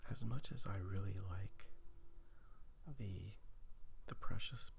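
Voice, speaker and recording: A man chatting casually in a soft, whispery ASMR style, rambling informally and gently self-deprecating in a creaky voice. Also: low voice, takes it slowly, a little hiss or hum. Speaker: ASMR host drawing while chatting. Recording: phone recording with soft clicking.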